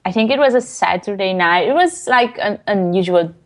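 A woman's voice: medium pitch, expressive, normal speed. A Brazilian woman, a non-native English speaker with a Brazilian Portuguese accent, answering interview questions in a hesitant, casual way with many fillers. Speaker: guest author.

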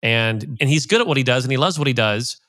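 A man hosts a casual interview and talks quickly, with plenty of likes and you-knows. The recording is close and dry, with no room sound. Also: medium pitch, lively intonation, fairly bright tone, dry recording. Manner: chatty, retells anecdotes with filler likes. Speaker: podcast host and coach.

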